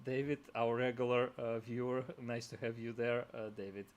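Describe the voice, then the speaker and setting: A man's voice: medium pitch, flat delivery, normal speed, clean tone, highs cut off. A man whose first language is Russian, hosting a live stream in a casual way, repeating words as he rambles and laughing often.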